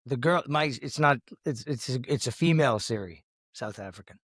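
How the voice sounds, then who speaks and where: A man's voice: mid-range voice, animated, moderate pace, fairly bright tone, dry recording, studio quality. A man co-hosting a casual talk podcast, rambling and often restarting and repeating his words. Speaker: podcast co-host. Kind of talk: casual chat.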